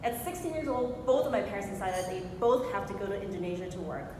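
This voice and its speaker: A woman's voice: lively intonation, fast talker. A woman in her forties from Hong Kong giving a motivational speech, telling a personal story and putting questions to her audience. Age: 40s. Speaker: motivational speaker. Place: large echoing room before an audience. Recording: very echoey hall with clear noise and rumble.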